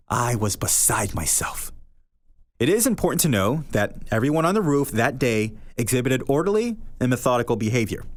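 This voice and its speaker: Guy reading a short story on his podcast, mid pitch, earnest and measured, dry dead room. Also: no room sound. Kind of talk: reading literary fiction aloud.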